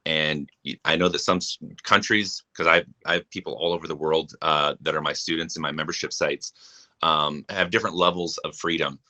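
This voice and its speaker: Young guy from japan, low but bright and forceful voice, earnest, keeps restarting, live stream chat. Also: steady tone, normal speed. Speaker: guest. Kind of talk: casual chat.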